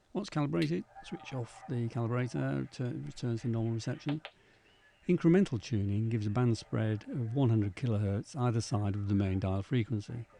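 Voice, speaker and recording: A man narrating an instructional equipment demonstration in a measured, methodical way. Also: lowish voice, warm tone. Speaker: presenter demonstrating vintage radio equipment.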